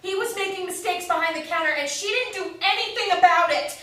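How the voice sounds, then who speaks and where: A woman's voice: very high voice, fairly quick, pushed and bright. A woman playing a protective, insistent adult daughter in a staged play reading. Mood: accusing. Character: Grace, tense, suspicious adult daughter, protective and accusing. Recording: echoey room, distant mic, faint noise.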